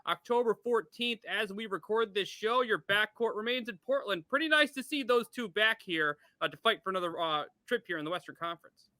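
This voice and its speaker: A man with a high, strikingly bright and forceful voice hosts a sports interview. His sound is close and dry, and he sets up each question like a presenter, speaking straight to the guest. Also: lively intonation, fairly quick, no room sound. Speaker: sports show host, interviewer.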